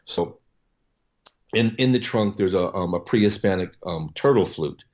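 Very deep-voiced man, halting talker. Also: darkish tone, a little hiss or hum. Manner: halting, often repeats words while explaining. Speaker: interview guest, audio producer. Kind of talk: casual conversational explaining.

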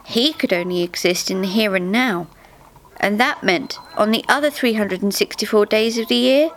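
A woman's voice: mid-range voice, fairly bright tone, poor audio. A woman narrating a scripted comic story expressively, as a storyteller reading live. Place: small theatre, live reading. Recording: faint noise, live theatre reading.

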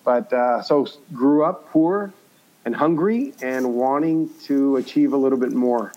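A man's voice: mid-range voice, a little slow. Slightly muffled man telling his story. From born in Cuba, grew up in the United States. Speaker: podcast guest, entrepreneur. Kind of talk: conversational personal storytelling. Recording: slightly dull, lo-fi sound.

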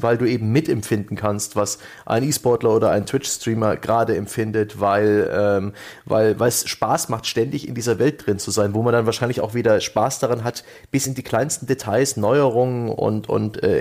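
A man's voice: medium pitch, a little mellow. A man, explaining things in long flowing sentences in a casual podcast discussion. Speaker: podcast panelist. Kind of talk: casual podcast discussion about video games.

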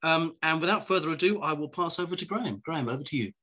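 A man chairing an evening event, briskly handing over to the speaker. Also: on the high side, animated, bright, forceful tone. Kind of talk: formal introduction.

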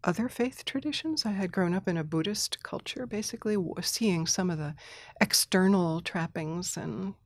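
A middle-aged woman in a reflective interview, her voice sitting a touch low. She talks thoughtfully, with small restarts, soft laughs and the odd throat clearing. The sound is dry and close. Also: moderate pace, few pauses, no echo. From Thailand. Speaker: interview guest.

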